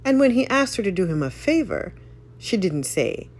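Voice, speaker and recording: A woman reading a folk tale aloud in an expressive, dramatic storytelling style. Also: mid-range voice, normal speed. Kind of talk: expressive scripted story narration.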